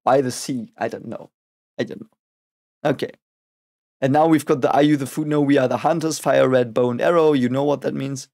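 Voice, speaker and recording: Raspy man musing over lyrics. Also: medium pitch, steady tone, darkish tone. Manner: musing, halting, repeats words often. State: voice raspy from a cold. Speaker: reaction video host. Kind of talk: casual reaction and lyric analysis.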